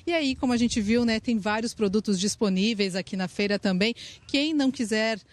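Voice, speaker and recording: A woman's voice: on the high side, fairly quick. A woman in her thirties with a Brazilian accent, reporting live for TV news from an outdoor park on a handheld mic. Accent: Brazilian Portuguese. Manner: lively, friendly, gestures while talking. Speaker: field reporter. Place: outdoor park event under a tent.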